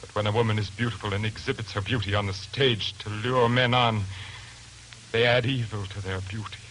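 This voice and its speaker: A low-pitched, brooding male lodger played by an actor in an old radio thriller. He speaks formally, with menacing intensity, on a noisy vintage recording. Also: fairly even intonation, a little fast, fairly bright tone, lightly acted. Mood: menacing, fervent. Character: brooding, fanatical male lodger obsessed with evil beauty. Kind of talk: 1940s radio suspense acting.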